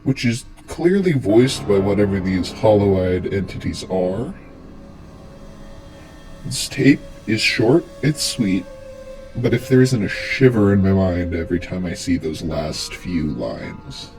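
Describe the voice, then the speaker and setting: A man's voice: low voice, animated, measured pace, a little mellow, poor audio. A man giving scripted commentary as the theatrical host and storyteller of a horror explainer. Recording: music under the voice. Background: music.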